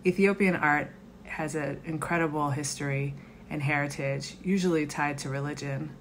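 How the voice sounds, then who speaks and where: A woman's voice: low-pitched, steady tone, normal speed, slight background noise. A woman in her forties, speaking to a close webcam in her living room and reflecting on art that matters to her. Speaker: community advisory member. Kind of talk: personal reflection to camera. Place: home living room, indoors.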